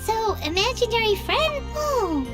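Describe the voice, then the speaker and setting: A woman voicing a tiny, excitable, blunt childlike fairy companion in a video game, with a squeaky voice. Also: measured pace, full character voice. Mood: curious, excited. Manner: speaks of self in third person, exclamatory. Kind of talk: video game character acting. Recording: game music behind the voice. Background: tinkly children's music.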